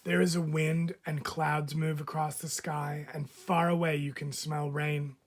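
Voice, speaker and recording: A man reading a picture book aloud as a teacher would, expressively, with deliberate dramatic phrasing and frequent pauses. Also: on the high side, level tone. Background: background music.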